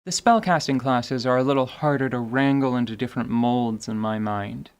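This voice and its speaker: A man's voice: medium pitch, moderate pace, smooth. A man hosting a podcast, giving semi-scripted tabletop gaming commentary in an articulate, wordy way with wry, playful asides. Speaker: podcast host.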